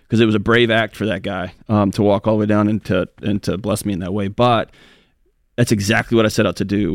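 An earnest man co-hosting a talk show, rambling a little and restarting his sentences as he talks. He speaks quickly in a somewhat low voice. The sound is close and dry. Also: no room sound. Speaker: co-host. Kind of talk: casual talk show conversation.

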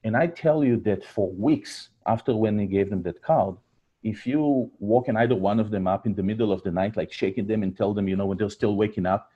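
An Israeli man in his forties, a guest on a podcast, telling family stories in a low, dark, slightly husky voice. He ambles along with fillers and self-corrections. The recording sounds a little muffled and lo-fi. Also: a little fast. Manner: storytelling, many fillers and restarts. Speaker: guest, startup founder. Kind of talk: podcast interview.

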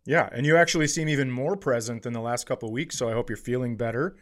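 A man's voice: mid-range voice, fast talker. Profane, bantering American man on a podcast. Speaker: podcast co-host and comedian. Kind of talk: casual comedic podcast chat.

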